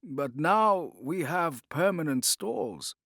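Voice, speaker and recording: A man's voice: on the high side, expressive, slow, a bit bright, dry recording, pitched above the performer's own voice, full character voice. A smug, calculating conspirator with a thick foreign accent , put on by a male narrator. He is an audiobook villain, heard in a dry, close recording.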